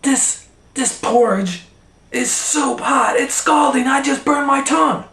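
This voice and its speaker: A big old father bear out of a children's storybook, as a male reader acts him out. He is excitable and fussy, exclaiming in a voice pushed up high. The room around him sounds echoey. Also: takes it slowly, exaggerated voice. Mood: alarmed, scalded. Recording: roomy, echoing room sound.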